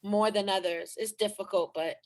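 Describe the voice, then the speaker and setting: A woman's voice: medium pitch, fairly even intonation, normal speed. A woman chatting casually on a video call before a table read. She is blunt and salty and speeds up when she gets riled. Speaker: table read cast member. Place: home in Los Angeles.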